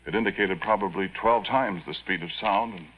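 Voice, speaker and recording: A man's voice: sits low, a little fast. A man playing an earnest, bewildered Air Force pilot, deferential and plain-spoken, with an American accent, in 1940s radio acting style. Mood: earnest explaining. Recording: muffled old radio broadcast.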